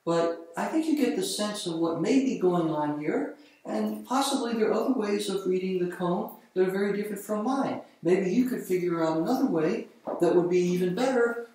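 A calm, unhurried voice talking through a Zen teaching in a slow, meditative way. It is heard from well away from the microphone, and the sound bounces hard around a small, bare indoor room. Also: mid-range voice. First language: not Japanese. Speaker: vlogger. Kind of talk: reflective monologue on a Zen koan. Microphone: far camera on the floor. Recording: very echoey room, voice from far off.

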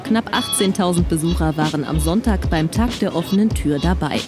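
A woman with a fairly low voice reads a regional TV news story, steady and clear. Music runs clearly underneath her voice-over. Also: fairly quick. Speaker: news reporter.

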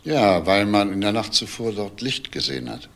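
An actor playing a composed, grave male police detective in a crime drama, speaking in a measured, understated way. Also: fairly low pitch, lively intonation, fairly bright tone. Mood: matter-of-fact, probing. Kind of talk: screen crime drama dialogue. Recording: distant mic, noisy background.

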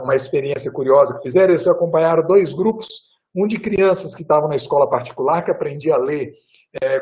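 A man's voice: a little high, darkish tone. An older man giving a talk over a video call, rambling with frequent tag questions and restarts. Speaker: guest lecturer. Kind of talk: relaxed lecture answering questions. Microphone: video call webcam.

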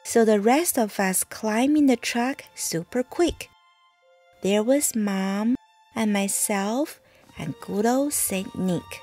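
A woman reading a rhyming children's bedtime story aloud with deliberate, expressive phrasing. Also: medium pitch, soft background music. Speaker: mother reading a picture book aloud.

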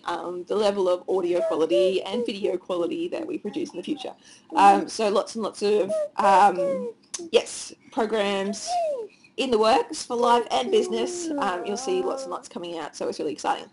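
An Australian woman with a cold and a nearly lost voice, chatting excitedly and rambling with many fillers as she walks through her site at home. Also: medium pitch, a little hiss or hum. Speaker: online course creator. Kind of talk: casual screen-share walkthrough. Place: at home with children nearby.

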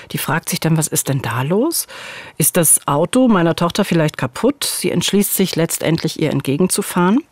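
A woman's voice: on the low side, a little mellow. A middle-aged woman narrates a true-crime case as a podcast host. She speaks scripted dialogue into a studio microphone, with a close, dry sound and no room echo. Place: small dark studio. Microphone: studio boom microphone, headphones.

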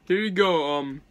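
A man's voice: a little high, fairly bright tone. A young man giving a casual, slangy, profane reaction vlog, recorded at a desk in a small room. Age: young adult. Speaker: reaction video host. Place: small indoor room at home.

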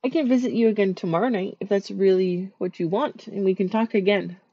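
A woman voicing a sweet, shy female dream eater spirit in a soft, gentle, soothing ASMR sleep-aid roleplay. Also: medium pitch, a little fast, breathy edge, no echo, highs cut off.

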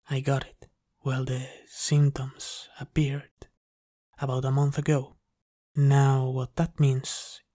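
Guy doing a dominant yandere vampire man for asmr, hushed n intimate, mellow voice, super dry close mic. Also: mid-range voice, no room sound, close to an everyday voice. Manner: hushed, slow, intimate, lapsing into whispers. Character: obsessive, dominant male yandere vampire, starved for blood. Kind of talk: ASMR roleplay. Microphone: close, dry microphone.

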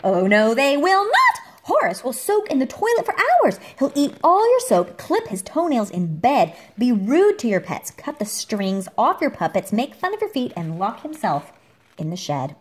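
A woman reading a picture book aloud to a child at home, expressively, with theatrical emphasis and dramatic pauses. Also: on the high side, wildly swooping pitch. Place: indoor room at home. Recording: home recording with clear background noise, clicks.

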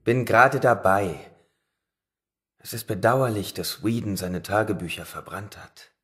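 A man's voice: lowish voice, unhurried, fairly bright tone. An actor playing a studious, preoccupied young man absorbed in research in an audio drama.

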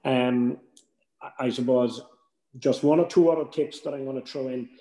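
A man's voice: mid-range voice, steady tone, moderate pace, mellow. An older man with an Irish accent gives informal, advisory workshop tuition, often checking in with short tags, on a video call. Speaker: workshop tutor. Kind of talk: informal instructional talk. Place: indoors at home on a video call. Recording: roomy echoing video call.

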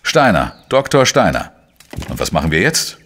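Very deep-voiced, dogged male sleuth. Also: wildly swooping pitch, moderate pace, fairly bright tone, a little hiss or hum, heavily acted. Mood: insistent, wry. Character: dogged, wry male journalist-sleuth narrating his own case. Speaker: lead actor and first-person narrator. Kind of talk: German crime audio drama, narration and dialogue.

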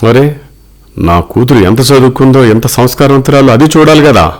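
A man's voice: mid-range voice, moderate pace, close to an everyday voice. A man voicing a wealthy middle-aged father, earnest and protective, in a cartoon story. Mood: indignant.

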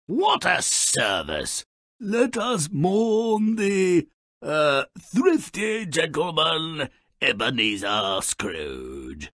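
A man voicing a mischievous, grumpy little creature as a sardonic video game narrator, with a gravelly, raspy voice and a mocking, grandiloquent manner. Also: fairly high pitch, big pitch swings, extremely slow, a bit bright, no echo, studio quality, full character voice. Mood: gleeful scheming, then mock solemn. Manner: mocking, grandiloquent, relishing misfortune.

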